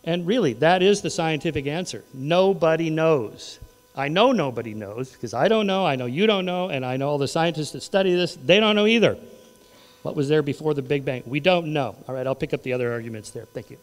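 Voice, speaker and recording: A man in his sixties arguing his case in a debate, animated and conversational, at a podium mic in a large auditorium. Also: fairly high pitch, fairly quick. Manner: animated, conversational, gestures widely. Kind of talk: formal debate argument. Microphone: podium mic on a stand. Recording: long hall reverb.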